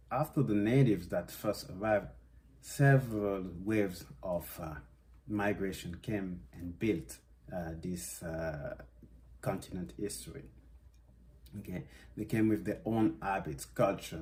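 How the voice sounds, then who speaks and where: A young man from West Africa, a native Susu speaker, reflecting in an interview. His voice sits low and mellow, and he talks slowly and thoughtfully. He often pauses with "uh" and starts sentences over. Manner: thoughtful, many fillers and restarts. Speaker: interviewed artist. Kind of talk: reflective conversation.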